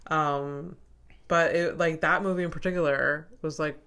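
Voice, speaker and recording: Low-voiced woman chatting casually. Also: fairly even intonation, normal speed, a bit bright, clean tone. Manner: laughs often, lots of filler "like". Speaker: podcast co-host. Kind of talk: casual chat.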